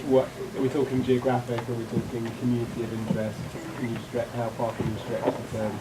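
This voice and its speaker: A man's voice: medium pitch, monotone, fast, distant mic, heavy background noise. A man reporting back from a group chat in a wry, questioning way.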